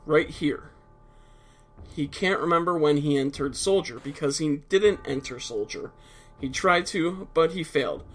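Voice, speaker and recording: A man's voice: fairly high pitch, measured pace, fairly bright tone, soft background music. A man with a stuffed-up nose giving casual, explanatory commentary over a video game, blunt and a little profane at times. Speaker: let's play commentator.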